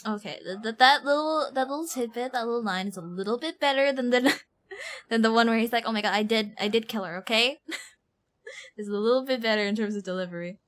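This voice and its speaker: A woman streaming a game, giving casual live reaction commentary in an animated, exaggerating manner. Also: on the high side, fairly quick. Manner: animated, mimics lines with exaggeration.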